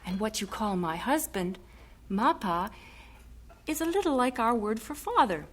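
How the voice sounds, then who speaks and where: American missionary mother, slightly high voice. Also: big pitch swings, unhurried, slight background noise. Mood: pleased. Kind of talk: old radio drama acting.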